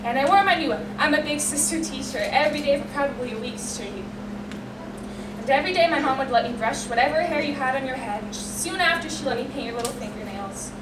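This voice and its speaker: A woman's voice: sits high, fairly quick. A young woman in her late teens earnestly reading her own heartfelt poem aloud at a school poetry reading. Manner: earnest and heartfelt, emotional, reads in long run-on lines. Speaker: student poet. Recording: echoey room, distant mic, loud ambient noise.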